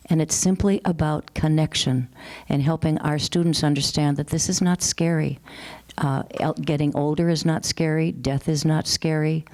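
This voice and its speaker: A woman educator with a Minnesotan accent speaking on a panel, steady and matter-of-fact as she lists examples. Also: low voice. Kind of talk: panel discussion remarks. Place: conference session room.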